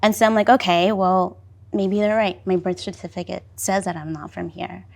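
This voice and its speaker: A woman's voice: fairly low pitch, level tone, moderate pace, gravelly. A woman born in El Salvador and raised in North Carolina, talking on a panel in a chatty, casual way. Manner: chatty, lots of "like" and "you know". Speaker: panelist, business founder.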